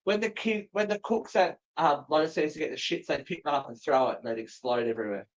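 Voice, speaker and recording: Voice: medium pitch, very animated, quick pace, low-quality recording. A co-host chatting casually on a livestream, cheeky and full of joking interjections. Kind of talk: bantering casual chat on a livestream.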